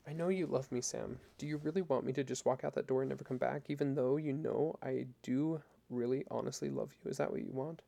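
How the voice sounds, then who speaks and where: A man's voice: medium pitch, steady tone, brisk, vocal fry, hardly any pauses, warm tone, lightly acted. A man reading as a pleading, lovesick woman. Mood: pleading, distressed. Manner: small, childlike, pleading. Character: tearful, pleading woman hopelessly in love. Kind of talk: dramatic audiobook character reading.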